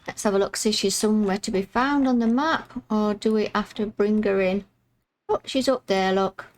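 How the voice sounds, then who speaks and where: Croaky-voiced woman guiding a game quest. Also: medium pitch, moderate pace. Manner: chatty, explains each step. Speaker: gaming YouTuber. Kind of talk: casual game walkthrough.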